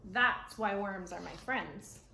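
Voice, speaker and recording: A woman's voice: medium pitch, creaky, pushed and bright, heavily acted. An earnest storybook grandmother, voiced by a woman reading aloud, explains things with emphasis and patience. She sounds eager to teach. The room is echoey, with faint noise. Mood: earnest, enthusiastic. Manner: emphatic, drawn-out explaining to a child. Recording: roomy echo, faint background noise.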